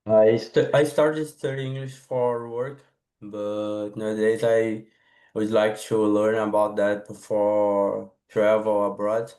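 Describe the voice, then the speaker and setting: A man, a student speaking careful, halting English over a video call. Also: fairly low pitch, little pitch movement, mellow. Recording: echoey room audio.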